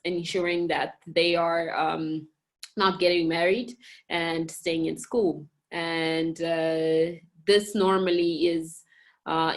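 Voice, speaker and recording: Woman from Mozambique, lower register, halting interview answers full of fillers. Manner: hesitant, many fillers and restarts. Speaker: communications director and girls education program manager at a national park.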